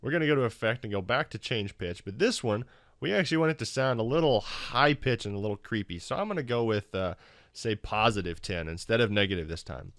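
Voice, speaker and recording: Low-voiced man narrating a casual tutorial. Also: expressive, a little fast, fairly bright tone, dry recording, studio quality. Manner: informal, self-deprecating, wry. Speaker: tutorial host.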